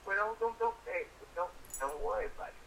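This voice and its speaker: A man's voice: high voice, expressive, a little fast, vocal fry, crowd noise. An older man chatting casually over the phone, folksy and insistent, leaning on repeated catchphrases. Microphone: phone call. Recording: noisy telephone call played over speaker.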